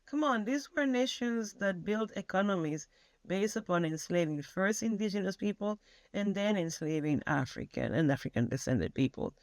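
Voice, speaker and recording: A woman's voice: mid-range voice, lively intonation, normal speed, no echo. A woman from Venezuela, answering interview questions emphatically, often restarting her phrases. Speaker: guest scholar.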